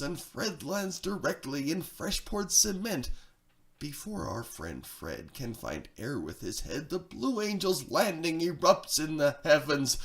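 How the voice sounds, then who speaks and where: A man reading a rhyming story theatrically, swinging between hushed and excited delivery, with a gravelly creak in the voice. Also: a little high. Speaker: narrator, storyteller.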